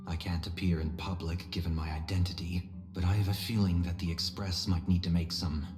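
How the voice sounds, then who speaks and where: An actor voices a reserved, thoughtful man with secrets in a video game. His low voice stays very flat and solemn, with a measured delivery. The room sounds echoey. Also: close to an everyday voice. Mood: grave, thoughtful. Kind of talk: video game story voice acting. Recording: roomy echo, faint music behind.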